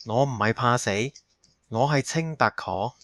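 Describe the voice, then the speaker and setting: A man's voice: medium pitch, lively intonation, unhurried, a bit bright, no room sound. A man acting a haunted, bitter fugitive in an audio drama, with a brooding delivery. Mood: anguished. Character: haunted, bitter man hiding for thirty years, resigned.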